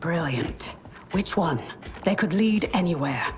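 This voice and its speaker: An actress playing a grave, compassionate noble widow in a video game, speaking formally and dramatically. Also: female voice, lowish voice, expressive, a little slow, a little mellow, slight background noise. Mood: wry, urgent. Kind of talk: video game cutscene acting.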